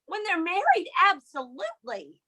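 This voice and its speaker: A woman voicing a flighty old widow who speaks in a high, wide-eyed sing-song. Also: huge pitch swings, moderate pace, bright, forceful tone, heavily acted. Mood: giddy.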